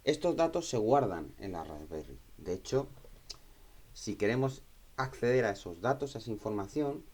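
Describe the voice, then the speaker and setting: A man giving an informal software tutorial, explaining step by step, repeating himself and checking in with short tag questions. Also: lowish voice, expressive, normal speed, husky. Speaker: tutorial instructor.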